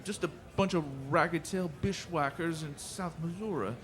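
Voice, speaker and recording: An actor playing a simple, scrawny drifter with a rural American accent, hesitant and folksy, in a western radio drama. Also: male voice, fairly high pitch, normal speed, fairly bright tone, lightly acted. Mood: naive, unguarded. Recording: music behind the voice.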